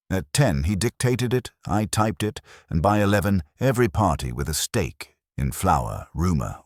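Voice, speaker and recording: A man narrating a scripted first-person detective story in a dry, wry, measured way. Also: sits low, dry recording. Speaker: audiobook narrator.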